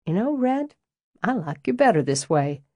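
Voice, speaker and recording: A woman's voice: mid-range voice, big pitch swings, normal speed, dark tone, no echo, pitched above the performer's own voice. A woman doing a teasing man, easy drawl. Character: easygoing flirtatious southern man, teasing landscaper.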